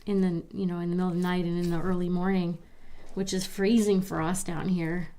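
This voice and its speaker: A woman from Baltimore hosting a crafting livestream, chatting softly and a little stuffy as she reads viewers' comments. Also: lowish voice, a little fast. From Baltimore, Maryland. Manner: relaxed, chatty, reads viewer comments aloud with small laughs. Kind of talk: soft-spoken live crafting chat.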